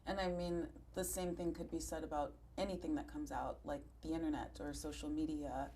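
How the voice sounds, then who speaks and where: A woman's voice: deep voice, fairly even intonation, brisk, warm tone, a little hiss or hum. A woman TV news interviewer asking friendly, conversational questions.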